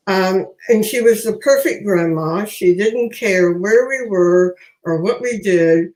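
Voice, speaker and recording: An older woman speaks slowly at a medium pitch, reminiscing like a practised storyteller. Her voice carries a clear roomy echo. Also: darkish tone. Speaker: guest, master gardener. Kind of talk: conversational reminiscing. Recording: roomy, echoing sound.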